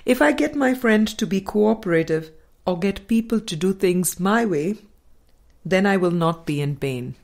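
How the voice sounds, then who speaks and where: A woman reading a spiritual book aloud in a measured, deliberate and formal way. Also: medium pitch, lively intonation, gravelly, darkish tone. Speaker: audiobook reader.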